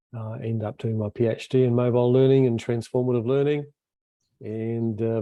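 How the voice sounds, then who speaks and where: A man introducing himself on a video call, relaxed and rambling with many fillers, as the group's convenor. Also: fairly low pitch, gravelly, dry recording.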